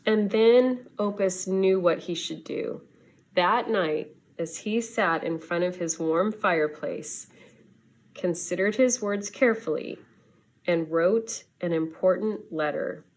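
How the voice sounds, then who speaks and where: A woman reading a children's story aloud in an expressive, deliberate teacher's manner. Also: lowish voice, low-quality recording. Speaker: schoolteacher reading to students.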